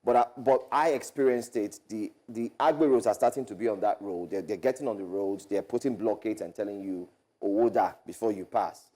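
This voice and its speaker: Man, morning show co-host, low voice, mellow tone, rambling with fillers. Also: a little fast. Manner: loose, rambling, with many fillers. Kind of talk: casual studio chat and headline reading.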